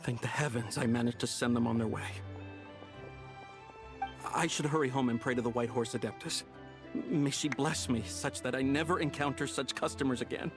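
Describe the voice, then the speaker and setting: A man's voice: mid-range voice, fairly even intonation, normal speed, close to an everyday voice. An actor playing a nervous, obsequious male tea merchant in a video game, stammering and apologetic. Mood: relieved, exasperated. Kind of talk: animated video game character acting. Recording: game music behind the voice.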